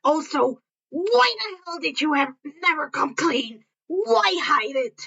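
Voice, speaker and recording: Woman as an abrasive teenage boy, blunt, barking, seething, high for a boy, storybook fan fiction reading. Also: lively intonation, slow, vocal fry, bright, forceful tone, muffled recording, heavily acted. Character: brash, abrasive, sharp-tongued teenage boy, hero student.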